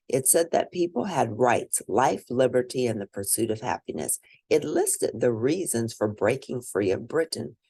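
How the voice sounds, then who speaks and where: A woman reads a picture book aloud in an expressive, teacherly way, speaking to young listeners. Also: rumbling low, vocal fry, dry recording. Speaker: children's read-aloud narrator and educator.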